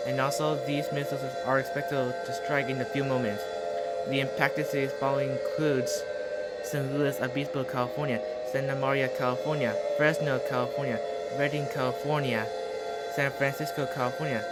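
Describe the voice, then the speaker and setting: A man delivers a scripted mock emergency broadcast in a formal, official announcer's manner. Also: medium pitch.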